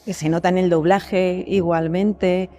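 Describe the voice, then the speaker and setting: A woman's voice: lowish voice, little pitch movement, a little slow, warm tone. A woman with an accent from Spain, talking casually and expressively as a podcast guest, explaining things through examples. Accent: Spanish from Spain. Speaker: voice actress guest.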